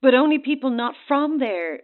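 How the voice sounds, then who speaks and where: An actress playing a secretive, outwardly concerned friend, through a telephone filter, in a naturalistic horror audio drama. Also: female voice, high voice, lively intonation, normal speed. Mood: urgent, concerned. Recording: thin, narrow phone line.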